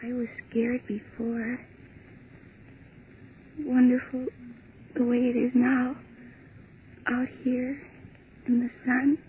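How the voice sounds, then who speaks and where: An actress playing a young woman lost in a calm, dreamy delusion, in 1940s radio acting style. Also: fairly high pitch, slow pace, pauses often. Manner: dreamy, soft, childlike. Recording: tinny, faintly noisy 1940s radio broadcast.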